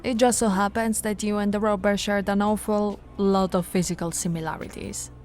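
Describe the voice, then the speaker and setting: A woman voicing a patient, teasing female police officer in an ASMR audio roleplay, speaking softly and coaxingly to the listener, recorded close to the mic. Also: medium pitch, little pitch movement, moderate pace, faint music behind, natural voice. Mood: matter-of-fact. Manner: soft-spoken, coaxing, playfully flirtatious. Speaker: ASMR voice actress.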